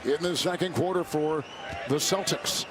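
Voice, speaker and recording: A man's voice: on the high side, normal speed. A man giving live television play-by-play commentary on a basketball game. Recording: loud music and arena noise behind. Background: basketball arena sounds.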